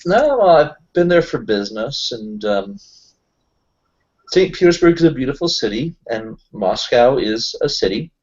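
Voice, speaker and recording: A man's voice: medium pitch, big pitch swings, a little slow, darkish tone, muffled recording. A man chatting casually as a player in a tabletop roleplaying group, explaining things in a discursive way. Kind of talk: casual in-character group chat.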